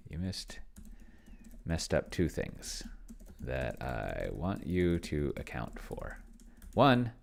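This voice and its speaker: A man hosting a casual solo gaming session, thinking aloud and muttering to himself, sometimes slowing to dictate as he types. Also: sits low, vocal fry. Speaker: solo tabletop gamer and video host. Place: indoors at a computer, keyboard clicks behind.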